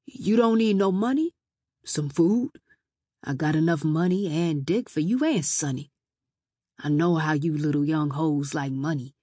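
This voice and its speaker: A woman's voice: low-pitched, a little slow, dark tone, husky, no room sound, studio quality. A woman voicing a drunk, sleazy, leering man with a wheedling manner in audiobook dialogue.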